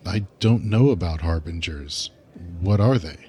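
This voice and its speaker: A man's voice: low-pitched, animated, a little slow, dark tone. A man playing a solemn, protective, earnest ally in an audio drama.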